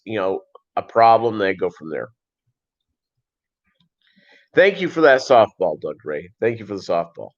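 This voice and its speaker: Deep-voiced man rambling on a lo-fi livestream. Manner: rambling, conversational, halting. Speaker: livestream host. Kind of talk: casual livestream chat answering viewers. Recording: dull, lo-fi livestream audio.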